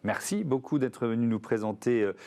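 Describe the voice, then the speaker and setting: A man's voice: mid-range voice, normal speed, warm tone. A man hosting a studio interview. He asks questions with many hesitations and repeated words. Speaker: TV show host.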